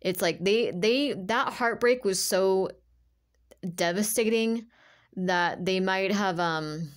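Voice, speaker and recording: A woman speaking as a tarot reader in an informal, intuitive monologue, halting and emphatic, circling back to repeat herself and often saying "like". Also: medium pitch. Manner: halting, repetitive, emphatic, filler-heavy with "like".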